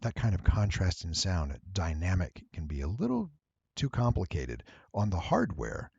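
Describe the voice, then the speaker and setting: Gravelly, very low-voiced man presenting casually. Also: huge pitch swings, moderate pace, a little mellow, dry recording, dull audio. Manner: rambling, playful, exclaiming to viewers. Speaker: tutorial presenter and voice actor. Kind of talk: casual software walkthrough, thinking aloud.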